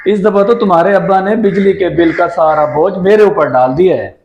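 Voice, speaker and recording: A man's voice: sits high, creaky. A man playing a humble, troubled uncle short of money in an amateur moral drama, plaintive and respectful. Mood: anxious. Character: troubled, humble, hard-up younger brother and uncle. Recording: slightly dull lo-fi sound.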